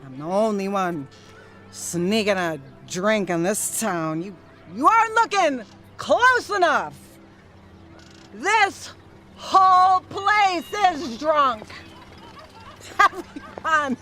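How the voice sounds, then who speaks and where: An actress playing a drunk, defiant, sardonic 1930s wife in a period radio drama, rambling between mutters and outbursts. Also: female voice, fairly high pitch, big pitch swings, takes it slowly, long pauses, pushed and bright, soft background music, full character voice. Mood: indignant ranting. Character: Ernie Pyle's drunk, ranting, defiant, snobby 1930s wife.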